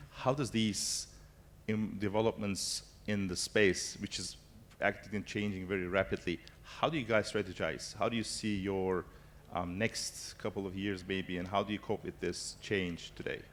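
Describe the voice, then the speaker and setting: A man moderating a conference panel, asking questions with energetic, loosely worded phrasing and fillers. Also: fairly low pitch, a little hiss or hum.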